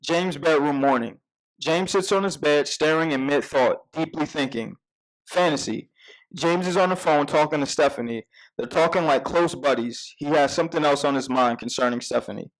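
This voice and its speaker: Higher-pitched man narrating screenplay directions. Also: moderate pace, rough recording. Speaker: narrator reading stage directions. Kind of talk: scripted narration at a live table read.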